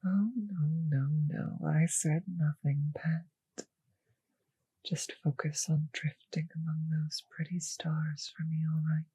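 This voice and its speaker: Breathy, whispering seductive vampire mistress played by a woman. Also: sits low, mellow, dry recording, full character voice, low-quality recording. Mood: sated, teasing. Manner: slow, tender, teasing, purring intimacy. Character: seductive, possessive, doting vampire noblewoman mistress. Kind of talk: intimate ASMR roleplay audio drama.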